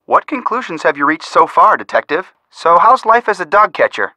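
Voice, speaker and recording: A man voicing an upbeat, sensible young amateur detective in a video game, with an energetic, friendly, gently teasing manner. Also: sits high, moderate pace, dry recording, full character voice. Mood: keen, teasing.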